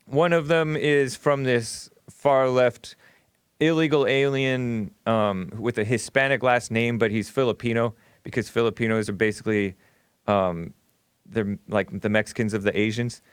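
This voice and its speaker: A man's voice: medium pitch, fairly even intonation, unhurried, clean tone, dry recording. A middle-aged man, co-host of a stream, talking in a casual, rambling monologue with many fillers and self-corrections.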